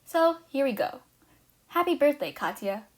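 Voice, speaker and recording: Brisk, slightly higher-pitched young woman host. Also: big pitch swings. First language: not Chinese. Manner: quick, eager, slightly nervous talker. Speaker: language teacher, channel host. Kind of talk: scripted channel introduction.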